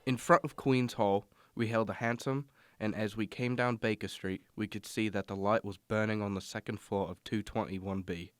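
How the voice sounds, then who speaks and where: Man acting as measured, low-voiced gentleman companion. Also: steady tone, no room sound, lightly acted. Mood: reflective. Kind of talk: radio drama narration and dialogue.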